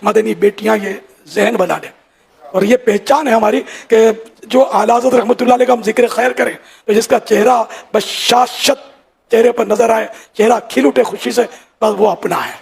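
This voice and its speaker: Older man, religious leader, high pitch, heavily cracked husky voice, informal sermon. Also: moderate pace.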